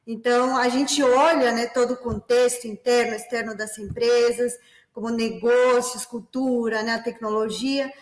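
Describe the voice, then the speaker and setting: A woman's voice: on the high side, level tone, moderate pace, echoey room, low-quality recording. A woman from Peru with a Spanish accent, hosting a corporate webinar in a friendly way and using many fillers. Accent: Peruvian Spanish. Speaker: webinar host, business development manager.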